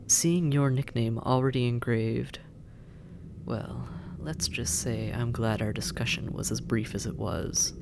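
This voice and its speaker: Slow, gravelly, teasing demon captor voiced by an actor. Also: medium pitch, background noise. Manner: slow, teasing, musing, often dropping into breathy murmurs. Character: sardonic, possessive demon captor, teasing yet exasperated. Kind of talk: intimate scripted audio roleplay addressed to listener.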